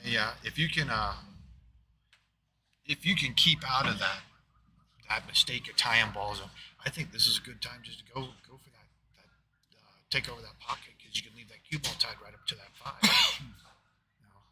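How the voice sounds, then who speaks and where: A man's voice: medium pitch, normal speed, long pauses, background noise. Rambling man commentating a pool match. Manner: rambling, filler-heavy, talks of his loud voice. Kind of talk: live stream commentary. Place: booth in a pool hall.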